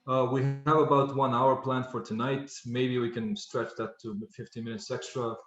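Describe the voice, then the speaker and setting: A man's voice: mid-range voice, flat delivery, moderate pace, a bit bright. Hesitant man hosting on an echoey call. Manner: formal, hesitant, many fillers. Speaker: student club host. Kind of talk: event introduction. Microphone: video call. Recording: echoey room, dull lo-fi call audio.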